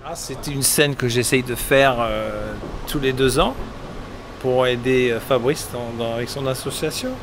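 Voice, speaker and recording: A middle-aged man from England, a musician, answering interview questions in a relaxed, rambling way with many hesitations. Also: mid-range voice, unhurried. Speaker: musician guest. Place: outdoors at a summer concert event. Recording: outdoor street noise behind. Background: traffic and street ambience.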